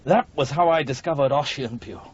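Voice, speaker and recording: A man's voice: a little fast, slightly rough, close to an everyday voice. Sardonic burnt-out editor played by a man, muffled. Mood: wry, rueful. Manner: wry, sardonic, wordy. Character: jaded, burnt-out male literary editor, bitterly witty. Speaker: actor in a radio drama. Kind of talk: radio drama acting. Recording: dull muffled recording with rumbling noise.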